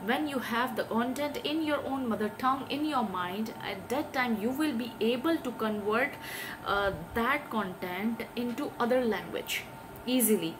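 A woman's voice: a little high, moderate pace. Woman, earnest, over room hiss. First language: Urdu. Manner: earnest, hesitant, many filler sounds, gestures with hands. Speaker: English teaching vlogger. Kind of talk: talking to camera, giving tips. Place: small indoor room.

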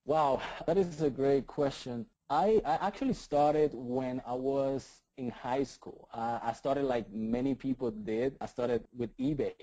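A man's voice: medium pitch, a little slow, few pauses, warm tone, no room sound, low-quality recording. A man in his thirties with a Puerto Rican accent, a guest talking eagerly in an interview, often repeating words and asking "right?". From Puerto Rico. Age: 30s. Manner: enthusiastic, repeats words, many "right?" tags. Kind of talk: casual interview talk.

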